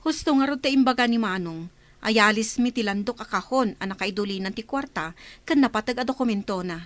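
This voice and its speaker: Woman as a high-voiced, practical younger sister. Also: brisk, close to an everyday voice. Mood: calm, concerned. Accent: Ilocano Filipino. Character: calm, practical younger sister on a phone call. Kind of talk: comedy radio drama acting.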